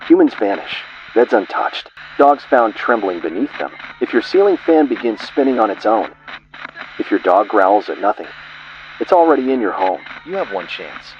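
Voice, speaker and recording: A man with a fairly low voice narrates horror in grave, ominous, clipped phrases. His pitch swings widely as he leans on single words. The sound is dull and lo-fi, with a faint hiss behind it. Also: big pitch swings, moderate pace. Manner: ominous, grave delivery in short clipped fragments.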